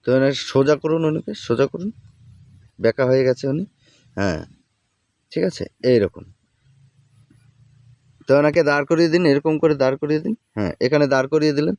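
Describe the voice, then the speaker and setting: A man's voice: fairly high pitch, brisk, long pauses, a little mellow, dry recording. A man hosting a casual, step-by-step app tutorial, often checking in as he instructs. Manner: repeatedly checks in while instructing. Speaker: tutorial host.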